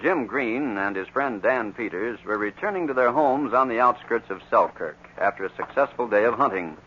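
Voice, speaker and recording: A man's voice: medium pitch, lively intonation, moderate pace, fairly bright tone, slight background noise. A man narrating a 1940s radio adventure serial in a dramatic, emphatic style on an old muffled recording.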